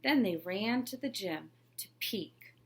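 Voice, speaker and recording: Woman, teacher, read-aloud storytelling, clear, expressive, medium pitch. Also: slow pace.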